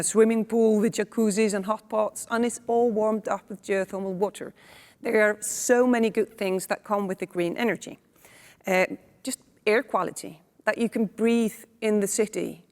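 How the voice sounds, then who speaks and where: Icelandic-born woman moderator, 40s, lapel mic, easy conversational talk, echoey studio tail. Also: medium pitch. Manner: conversational, with fillers and asides. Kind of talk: moderating a panel discussion. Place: indoor studio set.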